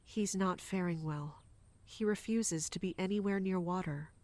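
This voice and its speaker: A woman's voice: fairly low pitch, normal speed, a little mellow, lightly acted. A woman playing a dutiful, guarded royal guard captain in an audio drama, speaking formally and deferentially. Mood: subdued.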